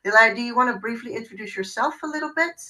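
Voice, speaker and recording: Bright-voiced woman presenting a webinar. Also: a little high, animated, fast. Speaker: university student recruiter. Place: online video call.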